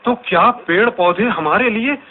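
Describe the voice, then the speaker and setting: Radio play everyman: high voice, troubled and theatrical, musing to himself, tinny narrow-band sound. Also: unhurried. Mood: dismayed. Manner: theatrical, exclaiming, self-questioning. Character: alarmed ordinary man musing aloud about a sunless morning. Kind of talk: Hindi radio drama soliloquy.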